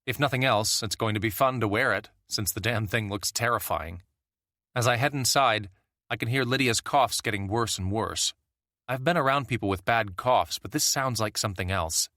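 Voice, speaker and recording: A man narrates a survival audiobook in first person. His voice is low but bright and forward. His delivery is steady and plain, and the read is dry and close, with no room around it. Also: dry recording.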